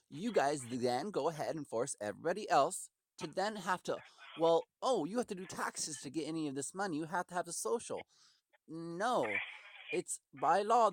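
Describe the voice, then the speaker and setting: Male caller, aggrieved and sermonizing, highly animated intonation, dry close sound, rambling argumentative delivery. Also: dry recording. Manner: emphatic, aggrieved, preachy, acts out imagined quotes. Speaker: caller to customer service. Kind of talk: impassioned rambling argument on a phone call.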